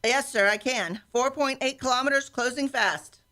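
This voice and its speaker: A woman playing an obedient starship lieutenant in a sci-fi radio play, giving crisp military reports. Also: high-pitched, normal speed, loud music behind the voice, lightly acted. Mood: alert.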